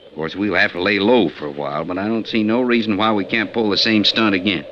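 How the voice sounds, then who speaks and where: An actor playing a brash, boastful, ruthless gold robber in an old-time radio western, with a talkative, folksy, blunt and menacing way of speaking. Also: male voice, fairly low pitch, fast talker, natural voice. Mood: scheming, callous. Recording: lo-fi 1950s radio recording, faint noise.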